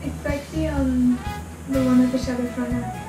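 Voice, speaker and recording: A woman's voice: sits high, flat delivery, moderate pace, warm tone. A seventeen-year-old young woman with an Australian accent chatting casually as a guest on a vlog. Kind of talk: casual chat. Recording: echoey room with music underneath.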